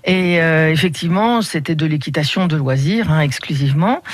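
A middle-aged woman from the Paris region answering radio interview questions in a measured way, with frequent hesitations. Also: low-pitched, no echo, clean studio sound. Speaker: head of a regional riding committee, radio guest.

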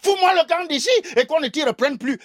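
A man's voice: very high-pitched, animated, fairly quick, fairly bright tone, pitched above the performer's own voice. Man doing a scornful, dismissive cop. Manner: contemptuous, brushing someone off. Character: dismissive male police officer.

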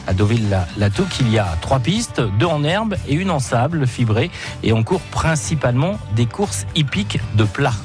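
A man presents on morning radio with a lively, animated voice and an upbeat tone. Music plays clearly behind him. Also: medium pitch, moderate pace. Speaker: radio host.

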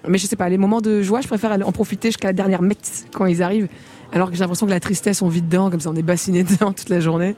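A woman from the Congo speaks as a singer guest on a radio interview, quick and candid. Her voice is warm and mellow, and she talks at a rapid clip. She laughs easily and trails off into fillers. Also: mid-range voice, fairly even intonation.

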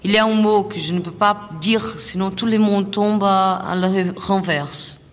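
Calm woman reading in a reverberant hall. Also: mid-range voice, unhurried. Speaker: reader performing an interview. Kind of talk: live public reading. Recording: long reverb from a live hall.

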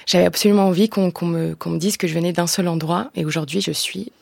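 A woman's voice: on the low side, steady tone, fast, gravelly, a little mellow, no echo. A woman giving a casual, personal answer as an interview guest, hesitant and often repeating her words as she searches. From Greek and New Zealand family, in France from age six or seven. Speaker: guest, stage actor.

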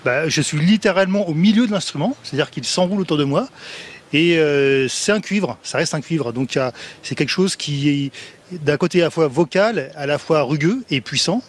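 A man's voice: a little high, expressive, normal speed. A man, a sousaphone player, explaining things in an interview with a hesitant, explanatory manner. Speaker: musician guest, sousaphone player. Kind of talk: casual interview answers.

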